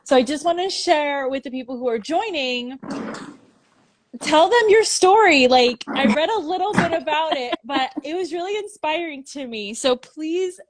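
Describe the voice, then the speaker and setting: A woman's voice: high-pitched, expressive, normal speed, a crowd in the background. A woman hosting a casual live-stream interview, upbeat and encouraging, laughing often. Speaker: host. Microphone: phone in a live video call.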